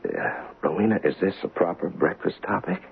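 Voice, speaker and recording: A man's voice: deep voice, big pitch swings, normal speed, gravelly, slightly rough, heavily acted. An actor playing a pompous, evasive, middle-aged wealthy businessman in a radio drama, with stiffly formal, hesitant speech. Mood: haughty, irritated. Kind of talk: old-time radio drama acting. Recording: tinny, noisy radio broadcast recording.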